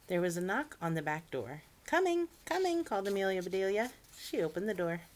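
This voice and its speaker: Low-pitched woman reading children's stories. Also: fairly quick. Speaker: children's story-time reader. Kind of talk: expressive picture-book reading with asides to children.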